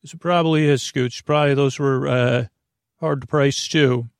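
A man with a creaky voice hosts a bedtime podcast, rambling in a halting, meandering, self-deprecating way full of tangents. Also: mid-range voice, steady tone, dry recording, clean studio sound. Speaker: podcast host.

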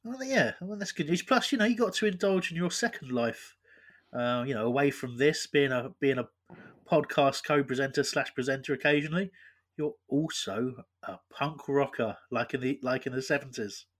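A man's voice: mid-range voice, moderate pace. A man hosting a football podcast in casual chat, teasing his guests. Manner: joking, teasing. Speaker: podcast host.

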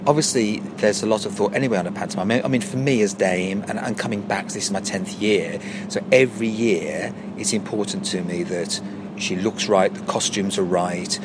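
Effusive low-voiced Englishman over background noise. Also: normal speed. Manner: effusive, excitable, emphatic repetition. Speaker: pantomime performer being interviewed. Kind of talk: casual on-location interview chat. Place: inside a large tent, on location. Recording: clear outdoor-like ambient and traffic noise.

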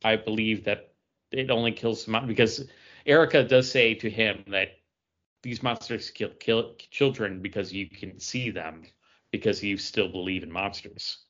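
Male co-host, slightly low voice, casual critical review talk, lo-fi muffled recording. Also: measured pace, fairly bright tone. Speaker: podcast co-host.

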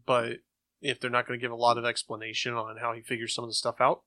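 Bright, dry-sounding male co-host. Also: medium pitch, completely flat delivery, quick pace, no room sound. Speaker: podcast co-host. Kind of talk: casual chat.

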